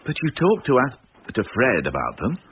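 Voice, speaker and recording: An actor playing a stern but caring police inspector in a radio drama, with a clipped, questioning, authoritative manner. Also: male voice, medium pitch, huge pitch swings, normal speed. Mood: probing, firm. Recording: dull lo-fi radio audio, faint noise.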